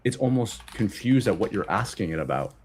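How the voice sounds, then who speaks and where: A man's voice: fairly low pitch, very animated, brisk, a little mellow, a little hiss or hum. A man in casual technical chat, reflective and offering suggestions in a thinking-aloud way.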